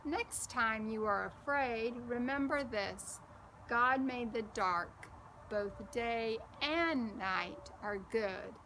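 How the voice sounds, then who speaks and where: A woman voicing a cheerful, patient older girl in a storybook, explaining things slowly and reassuringly. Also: a little high, sharp tone, a little hiss or hum, heavily acted, rough recording.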